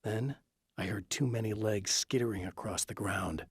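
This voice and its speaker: A low-voiced brooding male hero of a thriller game, husky and recorded close and dry. He is earnest and measured, with a guarded determination. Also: dry recording. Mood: brooding, resolute. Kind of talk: dramatic game acting, introspective monologue.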